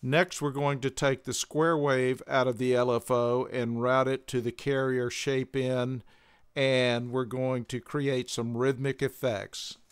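An older man giving a measured, methodical instructional demonstration as a tutorial presenter. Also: medium pitch, little pitch movement.

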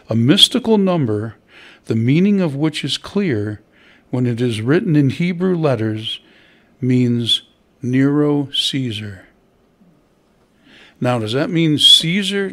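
An older man, a pastor, preaching a sermon at a church pulpit, conversational and asking his listeners questions. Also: fairly high pitch. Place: church hall at a wooden pulpit. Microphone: stand mic at the pulpit.

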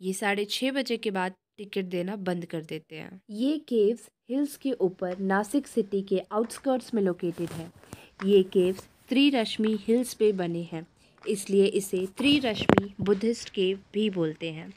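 A woman with an Indian accent reading a measured, informative travel voice-over, mixing in English terms. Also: medium pitch, darkish tone, slight background noise. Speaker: vlogger narrating a travel guide. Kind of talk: scripted informational voice-over narration.

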